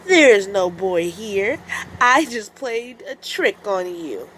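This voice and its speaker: A woman's voice: mid-range voice, sing-song, a little hiss or hum, poor audio. A woman voicing a young, mischievous male wolf in a children's storybook. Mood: gleeful teasing. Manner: loud drawn-out cries for help. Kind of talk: children's storybook read-aloud.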